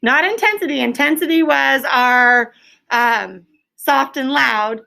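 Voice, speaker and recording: A woman's voice: high-pitched, slow pace, creaky, bright, forceful tone, low-quality recording. A woman teaching an elementary class, quizzing pupils in a firm, directive manner. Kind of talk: classroom lesson with question and answer.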